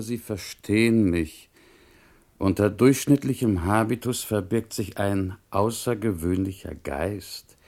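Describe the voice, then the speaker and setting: An actor playing a courteous, composed Parisian gentleman in a radio play, reading formally and in a measured way. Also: low voice, gravelly, full character voice. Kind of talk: radio play acting, reading a letter aloud.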